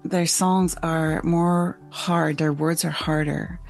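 A woman's voice: low-pitched, steady tone, takes it slowly, vocal fry, darkish tone, soft background music. A woman who grew up in Switzerland and lives in Canada, hosting a thoughtful, casual conversation.

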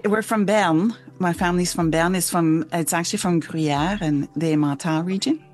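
A woman's voice: lowish voice, moderate pace, music in the background. A woman who grew up in Switzerland and lives in Canada, hosting a thoughtful, casual conversation.

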